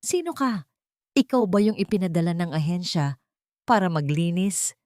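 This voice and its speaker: A woman's voice: on the low side, lively intonation, normal speed, darkish tone, dry recording, clean studio sound, close to an everyday voice. A woman voicing a haughty, bossy, rich young bride-to-be in an audio story. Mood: scornful, bossy. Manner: scornful, commanding.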